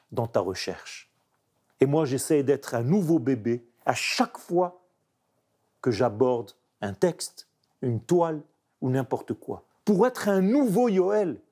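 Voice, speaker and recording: A man, a painter speaking French as a second language, reflecting emphatically in an interview. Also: on the high side. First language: not French. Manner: animated, emphatic, searching pauses. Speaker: painter guest.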